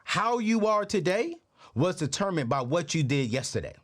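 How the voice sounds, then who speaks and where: A man in his late thirties hosting a personal finance show, giving a motivational talk with a preachy, energetic delivery. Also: high-pitched, normal speed. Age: 30s. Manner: preachy, energetic, repeats phrases. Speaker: podcast host.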